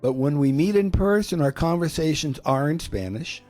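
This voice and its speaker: A man, a pastor, speaking in measured phrases and pausing so his words can be interpreted. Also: on the high side, lively intonation, a little mellow, soft background music. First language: English. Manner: slow, measured, with pauses for interpretation. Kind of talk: bilingual sermon-style talk.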